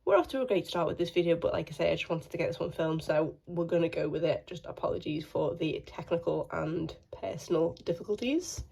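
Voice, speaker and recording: Croaky woman chatting to her phone. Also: on the low side, brisk, hardly any pauses, a little mellow. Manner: apologetic, chatty, rambling. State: croaky from a cold. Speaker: beauty vlogger. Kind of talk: casual chat to camera. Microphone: phone held in hand.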